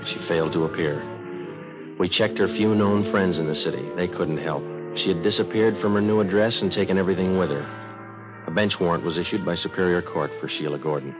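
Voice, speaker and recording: An American actor playing a terse, dogged police detective in a 1950s radio crime drama, clipped, deadpan and matter-of-fact. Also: male voice, deep voice, fairly quick, close to an everyday voice. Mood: flat, factual. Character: terse, dogged male police detective narrating his case. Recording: muffled old radio recording with music.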